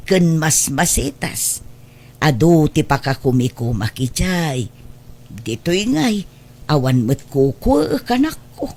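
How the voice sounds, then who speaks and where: A woman playing a humble, hardworking elderly mother in an Ilocano radio drama, speaking in a gentle, plaintive, homely way. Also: sits low, exaggerated voice.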